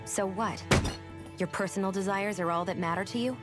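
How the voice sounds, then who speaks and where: An actress playing a proud, no-nonsense young woman in a video game, blunt and sharp-tongued. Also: medium pitch, natural voice. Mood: accusing. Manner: brisk, blunt, admonishing. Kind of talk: video game cutscene acting. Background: music.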